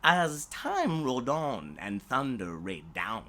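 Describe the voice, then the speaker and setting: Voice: mid-range voice, big pitch swings, takes it slowly, bright, forceful tone. A storyteller reading rhyming tales for children in a playful, dramatic way, drawing out words and sometimes dropping toward a whisper. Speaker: storyteller reading own stories.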